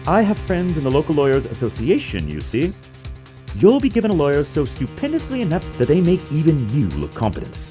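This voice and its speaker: Pompous villain with hugely swooping pitch. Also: male voice, wildly swooping pitch, fairly quick, dark tone, faint music behind. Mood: haughty, threatening. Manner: pompous, theatrical, verbose. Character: pompous, menacing, manipulative male businessman villain. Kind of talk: video game fan dub.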